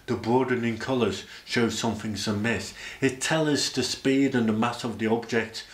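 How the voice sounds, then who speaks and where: A man's voice: mid-range voice, fairly even intonation, moderate pace. Man, echoey spoken-word delivery. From Sheffield, England. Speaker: presenter. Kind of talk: rhyming spoken-word poetry about science. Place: indoor room, speaking to camera. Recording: echoey room.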